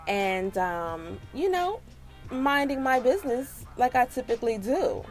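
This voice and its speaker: Animated American woman telling a story. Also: high voice, measured pace, faint music behind. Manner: animated, sassy, slangy. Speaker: storytime vlogger. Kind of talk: casual personal storytelling.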